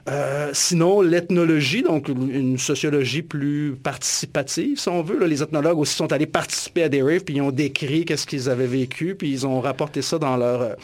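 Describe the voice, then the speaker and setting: A man's voice: on the high side, moderate pace, few pauses. A man with a Quebec French accent, an author and academic being interviewed, talking thoughtfully and often restarting his sentences. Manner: thoughtful, many restarts and hesitations. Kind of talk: reflective interview talk.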